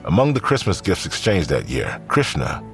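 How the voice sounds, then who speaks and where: A man's voice: deep voice, wildly swooping pitch, moderate pace, fairly bright tone, soft background music. A man reading scripted narration for an audio drama.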